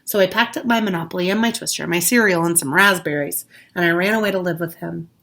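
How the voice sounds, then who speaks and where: A woman reading a children's story aloud expressively, with dramatic pauses and hushed asides. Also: on the low side. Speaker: storybook reader, teacher.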